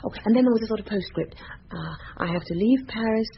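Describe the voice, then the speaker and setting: Young newlywed, indignant and unsure of herself. Slightly muffled radio drama sound, light background noise. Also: female voice, fairly high pitch, fast talker, gravelly. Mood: hesitant recalling. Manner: hesitant, indignant. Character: flustered, defensive young newly married woman.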